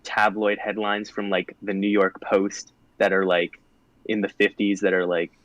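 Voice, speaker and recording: Low-voiced young man, chatty explainer. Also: unhurried, no room sound, low-quality recording. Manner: relaxed and chatty, lots of "like". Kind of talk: casual explaining on a live stream.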